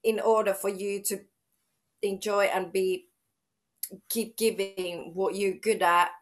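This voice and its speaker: A woman's voice: mid-range voice, level tone, slow pace. Bubbly woman, middle-aged trainer, chatty, keeps restarting sentences. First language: Finnish. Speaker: fitness trainer, guest. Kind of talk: casual interview chat.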